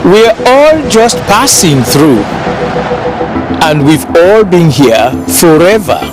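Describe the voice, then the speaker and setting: A man speaking promo lines in a lively, drawn-out, intimate way. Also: sits high, over music. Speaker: narrator. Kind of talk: poetic promotional narration.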